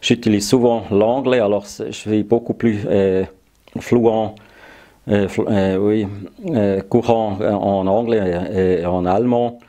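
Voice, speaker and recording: A man shows off his workshop gear, speaking slowly and pausing often to hunt for words. His voice sits low and mellow. He talks in a casual, plain way. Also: steady tone. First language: German. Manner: hesitant, searching for French words. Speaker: YouTube hobby channel host.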